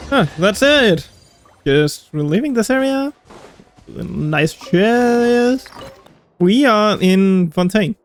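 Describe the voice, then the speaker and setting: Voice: mid-range voice, lively intonation, extremely slow, faint music behind. A gameplay streamer giving casual, rambling, offhand commentary with some swearing.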